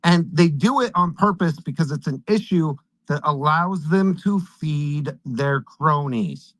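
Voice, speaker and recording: A man's voice: on the high side, a bit bright, no echo, low-quality recording. A man hosting a live online audio room, giving pointed, emphatic political commentary. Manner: emphatic, pointed, ends by handing over the floor. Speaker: host.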